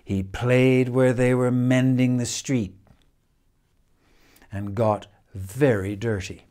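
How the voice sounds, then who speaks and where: Slow-reading older man, storybook narrator. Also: medium pitch, fairly even intonation, warm tone. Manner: measured, deliberate phrasing with dramatic pauses. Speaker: storyteller reading a picture book. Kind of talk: expressive children's storybook reading. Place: indoors, room in a house with stone wall.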